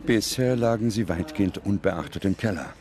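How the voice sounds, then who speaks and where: A man delivering grave, measured scripted narration as a documentary narrator. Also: fairly low pitch, crowd noise.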